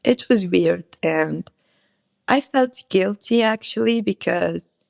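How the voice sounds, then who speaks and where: A young woman from Morocco speaks English haltingly and slowly, with heavy vocal fry running through her lowish voice. She stops often to find words. The recording is dry and close. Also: no room sound. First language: not English. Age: 20s. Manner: hesitant, halting, searching for words. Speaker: interview guest. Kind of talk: conversational interview.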